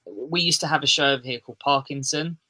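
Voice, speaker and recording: A man's voice: mid-range voice, fast talker, pushed and bright, low-quality recording. A man from southeast England with an English accent, a podcast guest chatting casually in a rambling, self-deprecating way that keeps restarting sentences. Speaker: podcast guest, metal musician.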